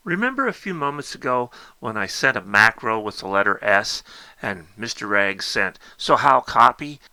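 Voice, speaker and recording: A man's voice: on the low side, pushed and bright, low-quality recording. A man narrating a step-by-step technical tutorial, explaining things directly to the learner as an instructor. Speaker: instructor demonstrating a Morse code keyer.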